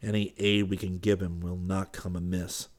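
A man narrator voices an earnest, short-tempered artist in a wartime storybook. The voice is low, with a brisk, urgent and commanding manner. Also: little pitch movement, darkish tone, lightly acted. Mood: low, serious.

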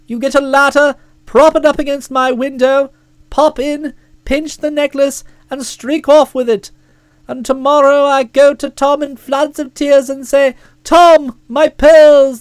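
A hearty, bossy middle-aged aunt, as a woman reader puts her on, loud and emphatic. She speaks high and deliberately in a breezy, commanding manner. The recording is dry and close. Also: no echo. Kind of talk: audiobook character voice.

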